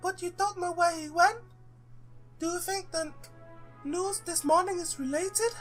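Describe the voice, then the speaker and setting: A man's voice: steady tone, unhurried, a bit bright, soft background music. A man voicing a timid, worried young woman in a visual novel, with an anxious, questioning manner. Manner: high put-on feminine voice, anxious questioning.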